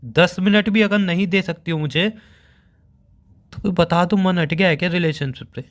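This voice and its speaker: A man's voice: high-pitched, normal speed, close to an everyday voice. A young man playing a hurt, sulky boyfriend in an everyday phone-call drama, sarcastic and reproachful. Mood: accusing, frustrated.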